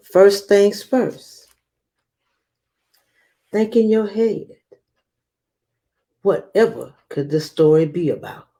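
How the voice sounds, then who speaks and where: A woman guiding young listeners through a read-aloud lesson, instructive and speaking straight to them with emphatic pauses. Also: deep voice, warm tone. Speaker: guest reader and read-aloud host for children.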